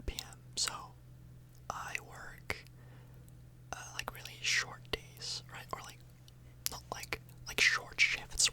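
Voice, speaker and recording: A woman speaks entirely in a soft, breathy whisper. She rambles casually in an ASMR style, hesitating and correcting herself as she chats to her viewers. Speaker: ASMR YouTuber, host. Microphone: microphone a little further away than usual.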